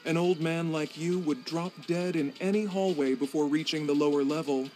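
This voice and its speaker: A man's voice: on the high side, steady tone, normal speed, warm tone, faint music behind, lightly acted. A man voicing an arrogant undead sorcerer with a condescending, precise manner.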